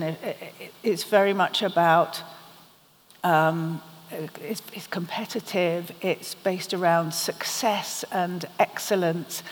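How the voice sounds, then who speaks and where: A woman on a live conference panel, talking thoughtfully and often pausing to search for the right word. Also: fairly low pitch. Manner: thoughtful, searching for words, many restarts. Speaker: podcast panel host. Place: conference hall, on stage. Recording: reverberant hall.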